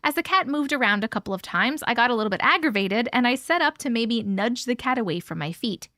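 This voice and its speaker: Bright high-voiced chatty woman host. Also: animated, fast, no echo, studio quality. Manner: chatty, effusive, talks to chat viewers. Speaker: livestream host reading viewer stories. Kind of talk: casual live chat and reading aloud. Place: at home with her cat.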